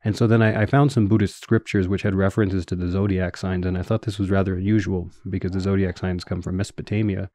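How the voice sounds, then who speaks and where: A man in his thirties with a Canadian accent, a guest scholar giving measured, explanatory answers in an interview with frequent fillers. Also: low voice, little pitch movement, mellow, no echo. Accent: Canadian English.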